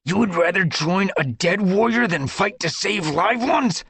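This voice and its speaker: A stern young tom warrior in a storybook, snarling his rebukes, as a man puts him on. His voice rides high above the narrator's, husky and lively. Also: a little slow, no echo, exaggerated voice. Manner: snarling, scolding.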